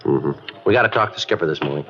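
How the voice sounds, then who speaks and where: Very deep, deadpan male police detective. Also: fast, a little hiss or hum. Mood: businesslike. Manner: deadpan, clipped, matter-of-fact. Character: terse, businesslike male police detective, narrating his cases. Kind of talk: 1950s radio police drama acting and narration. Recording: dull old radio recording.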